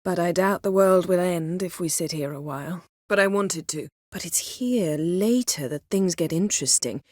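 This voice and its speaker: A woman voicing a proud, sharp-tongued sorceress in a video game, speaking in formal, crisp, imperious phrases with composure and wry wit. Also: fairly low pitch, moderate pace, no echo, clean studio sound, lightly acted. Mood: measured, businesslike. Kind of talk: video game character dialogue.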